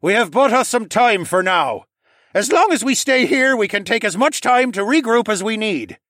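A commanding raccoon pirate captain from an audiobook, acted out by a man. The voice is raised well up in pitch, theatrical and bold, and it delivers orders with bellowing emphasis in a very dry recording. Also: lively intonation, moderate pace, fairly bright tone, dry recording. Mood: firm, authoritative. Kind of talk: audiobook character acting.

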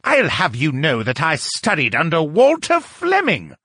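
Male narrator as snooty rival scientist for an audiobook: raised pitch, swooping intonation, scornful and easily stung. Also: big pitch swings, moderate pace, a bit bright, husky, dry recording, clean studio sound. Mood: shrill, defensive. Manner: mocking, scornful, shrill when provoked. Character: haughty, touchy, strange-looking male scientist rival. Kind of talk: audiobook character acting.

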